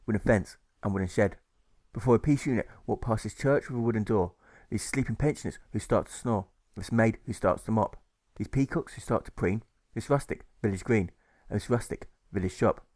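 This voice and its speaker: A man's voice: fairly low pitch, a little fast, darkish tone, dry recording. A man reading a rhyming novel aloud as scripted narration, rhythmic and emphatic on the rhymes. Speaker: author reading his own audiobook.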